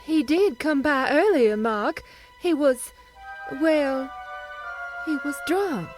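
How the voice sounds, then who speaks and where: A guilt-ridden wealthy wife in an audio drama. Her voice is high and slow, with dramatic, emotional delivery over prominent music. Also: lively intonation. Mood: subdued. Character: Raven, guilt-ridden young wealthy married woman.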